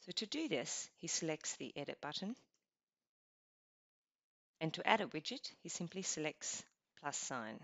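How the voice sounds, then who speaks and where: Low-voiced woman calmly narrating a software tutorial, dry and kinda lo-fi. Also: unhurried, creaky, long pauses, dry recording. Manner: even, instructional, step-by-step. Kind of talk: scripted screencast narration. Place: voice-over over screen recording. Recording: dull lo-fi voice-over.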